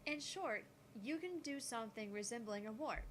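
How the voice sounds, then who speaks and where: A woman voicing a calm, gutsy teenage genius girl who lectures on physics in a visual novel. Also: fairly high pitch, moderate pace, a little hiss or hum. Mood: explanatory. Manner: explanatory, lecturing.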